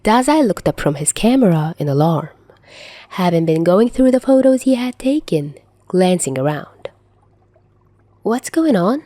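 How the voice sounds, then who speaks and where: A woman's voice: lowish voice, lively intonation, normal speed, dark tone, no echo. A woman reading fiction aloud in an audiobook narration style. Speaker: podfic narrator.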